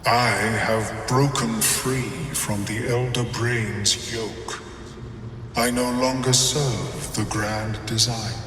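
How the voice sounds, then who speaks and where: Mind flayer for a game: unhurried, polite, clinical intellectual monster, cavernous echo, faint music behind. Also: male voice, fairly low pitch, a bit bright. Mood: composed, formal. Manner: formal, measured, clinical. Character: calm scholarly male mind flayer, detached, politely clinical. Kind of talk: video game dialogue acting. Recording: echoing hall with long reverb, faint music.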